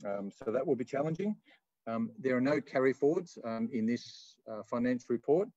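A man with an Australian accent giving a formal council finance report in a measured, hesitant, explanatory way. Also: mid-range voice, lively intonation, mellow, no echo. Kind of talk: formal meeting report and answers. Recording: slightly dull meeting audio.

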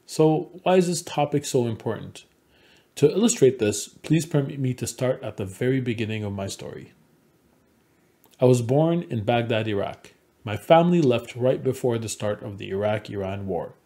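A man in his forties from Montreal gives a prepared conference presentation in a measured, earnest storytelling manner. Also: medium pitch, lively intonation, dark tone. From Montreal, Canada. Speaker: conference speaker, game developer. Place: small indoor room at home.

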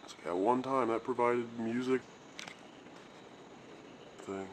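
A man's voice: medium pitch, steady tone, slow pace. A man casually chatting and swearing offhand while filming an abandoned building on a handheld camera. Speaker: urban explorer filming. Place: abandoned building, indoors and outdoors. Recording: noisy camera audio.

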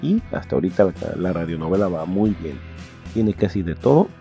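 Guy hosting a podcast, mellow fry voice, chatty intro, light music under. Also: deep voice, animated, a little fast. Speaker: podcast host. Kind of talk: casual introduction to a radio serial.

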